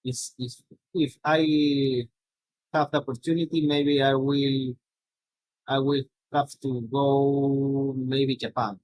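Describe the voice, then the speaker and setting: A middle-aged man from Peru, a native Spanish speaker with a Peruvian accent, giving a slide presentation in a halting way with many restarts and "no?" tags. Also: medium pitch, little pitch movement, darkish tone, dry recording, rough recording.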